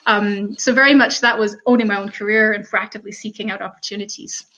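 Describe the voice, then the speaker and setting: A woman in her thirties with a Canadian accent, telling her career story in a relaxed talk full of ums and "you know". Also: mid-range voice, fast. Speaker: panel speaker. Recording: muffled lo-fi audio.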